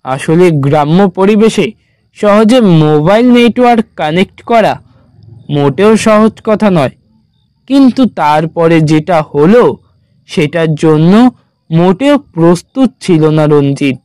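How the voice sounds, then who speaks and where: A man narrating a scripted horror story in a measured, dramatic storytelling manner. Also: a little high, animated, a little mellow, clear, resonant tone, no room sound.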